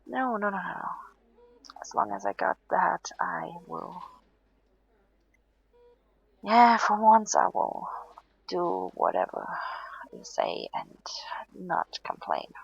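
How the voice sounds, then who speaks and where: A woman playing a tired, stubborn but playful patient in an intimate audio roleplay, murmuring sleepily and haltingly, often close to a whisper. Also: on the low side, big pitch swings, highs cut off, heavily acted. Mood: playful pleading. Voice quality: breathy, often dropping to a whisper.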